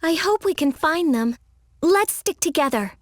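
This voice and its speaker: An actress playing a gentle, unsure young princess in a video game, soft-spoken and earnest. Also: female voice, sits high, animated, moderate pace. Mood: hesitant. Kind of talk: English video game dub acting.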